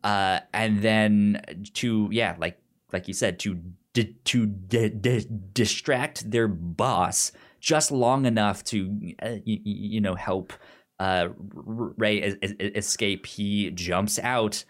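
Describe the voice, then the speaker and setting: A podcast host with a noticeable stutter, repeating sounds and syllables while casually retelling a film plot in an animated, acted-out way. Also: sits low, takes it slowly.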